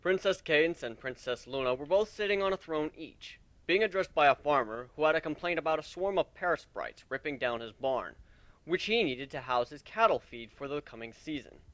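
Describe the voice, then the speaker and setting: A man's voice: a little high, animated, fairly quick, fairly bright tone, no echo. A man reading a story aloud as narrator, in a dramatic audiobook style. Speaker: narrator of a fan fiction reading.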